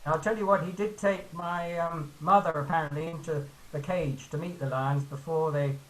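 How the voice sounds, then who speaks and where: A man from Glasgow telling a casual, rambling anecdote haltingly on a video call. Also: on the high side, fairly even intonation. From Glasgow, Scotland. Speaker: folk club member. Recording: hissy, noisy video call audio.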